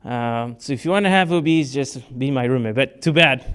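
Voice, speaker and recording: A man's voice: mid-range voice, normal speed, a bit bright, clean tone. A man from Portugal giving a talk to a club audience, answering questions in a casual way with many fillers and restarts. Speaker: speaker. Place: club meeting room.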